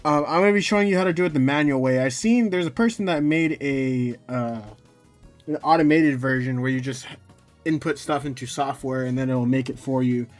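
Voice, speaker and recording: A man with a mid-pitched voice walks through a software tutorial in a casual, unscripted way. His delivery is hesitant and rambling, with frequent self-corrections. Music plays behind him. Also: brisk. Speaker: tutorial host.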